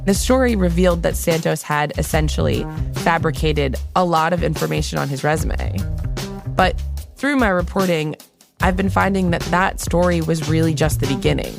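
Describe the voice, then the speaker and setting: A woman's voice: low voice, moderate pace, gravelly, over music. An American woman from Long Island, a podcast producer, telling a reported story in a conversational narrative style. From Long Island, New York, United States.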